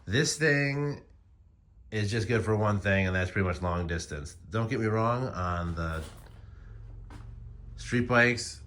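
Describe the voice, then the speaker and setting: A man's voice: lowish voice, takes it slowly, long pauses, fairly bright tone. An American man in his forties talking casually and informally to his phone as a motorcycle vlogger, rambling in a slangy, conversational way. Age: 40s. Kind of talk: casual rambling vlog to camera.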